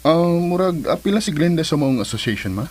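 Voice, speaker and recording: Earnest, husky-voiced son. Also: male voice, fairly high pitch, big pitch swings, a little fast, darkish tone. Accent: Filipino, Cebuano. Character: earnest, devoted grown son, a young businessman. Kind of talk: radio drama acting.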